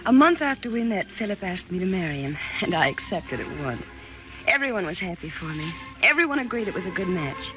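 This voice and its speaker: A woman's voice: fairly low pitch, big pitch swings, brisk, music in the background. Haunted, frightened young American woman. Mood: wistful, reflective. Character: frightened, haunted 28-year-old woman recalling her marriage. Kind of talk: 1940s radio thriller acting. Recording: noisy vintage 1940s radio recording.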